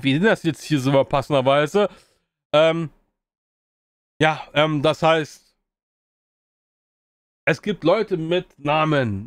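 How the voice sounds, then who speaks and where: A man's voice: on the high side, no room sound. A man giving a casual spoken review of an old video game, rambling with many fillers and restarts.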